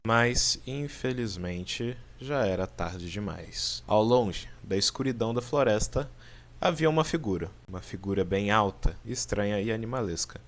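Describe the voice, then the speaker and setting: A man with a Brazilian accent gives casual explainer commentary, informal and pausing for drama. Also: fairly low pitch, background noise. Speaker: video creator and narrator. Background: background music.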